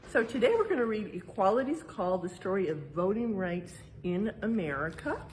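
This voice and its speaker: A woman with a medium-pitched voice reads aloud in the style of a museum educator at storytime. She breaks off into plain, casual explanations and often checks in with short tag questions. The recording is made indoors, with faint but steady room noise behind her. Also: moderate pace. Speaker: museum educator reading a picture book aloud.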